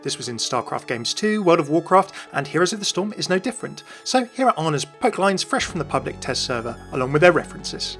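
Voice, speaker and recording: Casting: British male gaming host, slightly high voice, rapid scripted delivery over a light music bed. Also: big pitch swings, fairly bright tone. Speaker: video host. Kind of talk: scripted narration, game commentary.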